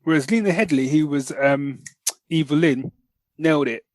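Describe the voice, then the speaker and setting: A British man co-hosting a podcast, reviewing enthusiastically in casual, slangy, animated talk. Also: on the high side, normal speed, dry recording. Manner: animated, slangy, often addresses listener as man. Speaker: podcast host.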